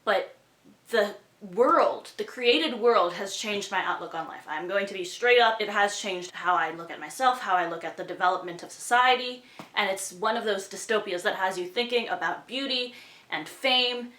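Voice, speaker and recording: A woman's voice: mid-range voice, normal speed, fairly bright tone. A young woman giving a casual, chatty book review, speaking enthusiastically and conversationally. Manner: enthusiastic, conversational, asking rhetorical questions. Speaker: book reviewer hosting her own channel. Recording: roomy indoor echo.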